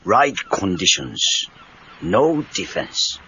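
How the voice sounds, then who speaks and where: An actor playing a gruff, wise, teasing older Japanese karate teacher in a read-along cassette drama, with a Japanese accent and clipped broken English. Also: male voice, low-pitched, big pitch swings, slow pace, fairly bright tone, heavily acted. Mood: instructive. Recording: dull cassette tape with faint noise.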